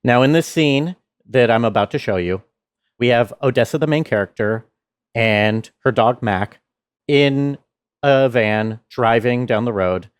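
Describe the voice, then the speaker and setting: A man explaining his sound design work in a measured behind-the-scenes walkthrough. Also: mid-range voice, clear, resonant tone, dry recording.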